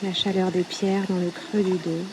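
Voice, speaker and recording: A woman leading a guided yoga nidra meditation in a soothing, unhurried way, with short phrases and long pauses. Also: fairly low pitch, little pitch movement, background noise. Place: outdoors at a riverbank. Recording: outdoor recording with loud running river water. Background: flowing, trickling stream water.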